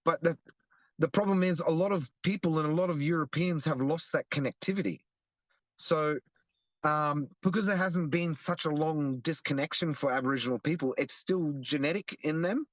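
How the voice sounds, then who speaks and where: A young Australian man talks in an earnest, explanatory way, circling back and restarting his sentences. His voice sits a little high for a man. The recording is close and dry. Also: normal speed, no echo, low-quality recording. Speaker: guest, activist. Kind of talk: casual interview.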